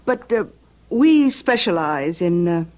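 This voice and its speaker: An actress playing a sweetly polite, quietly calculating crime-family matriarch with a measured, mock-gracious manner in a radio drama. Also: female voice, lowish voice, very animated. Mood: sweetly coy. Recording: dull lo-fi radio recording.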